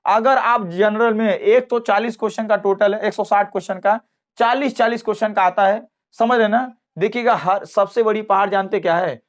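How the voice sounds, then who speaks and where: A teacher explains informally and emphatically, repeating phrases and checking that listeners follow. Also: mid-range voice. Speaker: math teacher at a coaching class. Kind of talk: informal exam cut-off explainer.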